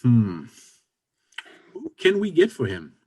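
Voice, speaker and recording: A man's voice: mid-range voice, wildly swooping pitch, slow pace, a little mellow, slightly rough, heavily acted. A man playing a pragmatic, impatient but encouraging businessman friend in a stage comedy reading, with emphatic delivery. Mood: brisk, practical. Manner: pushy, exasperated, emphatic. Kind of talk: stage comedy readers theater.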